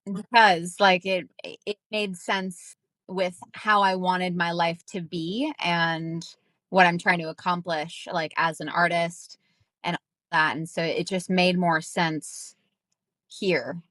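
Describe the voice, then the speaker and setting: A woman's voice: on the low side, fairly even intonation, slow, fairly bright tone, dry recording. A young woman from Minnesota, a ghostwriter guest, who talks casually and rambles with many fillers and restarts. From Minnesota, United States. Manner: rambling, filler-heavy, acts out quoted voices. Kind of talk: casual interview answers.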